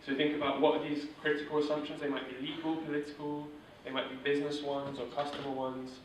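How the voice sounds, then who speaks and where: A man leading a startup workshop, explaining principles in an informal, steady way with fillers. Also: on the high side, quick pace, a little hiss or hum. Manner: steady, monotone delivery with filler words. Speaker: workshop instructor. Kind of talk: informal teaching talk. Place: large echoey room. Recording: echoey room from far off.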